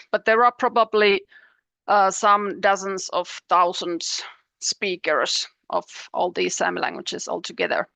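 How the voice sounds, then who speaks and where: A woman's voice: mid-range voice, a bit bright, smooth, dry recording. Woman giving an academic talk. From Finland. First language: Finnish. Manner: measured and explanatory, with frequent pauses and restarts. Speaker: professor, panelist. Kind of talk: academic presentation. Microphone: video call.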